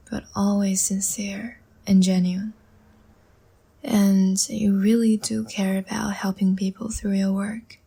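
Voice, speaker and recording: Slow, breathy, seductive vampire woman played by an actress. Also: mid-range voice, heavily acted. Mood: tender, predatory. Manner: soft, coaxing, slow and teasing, often breathy near-whisper. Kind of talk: intimate roleplay audio drama.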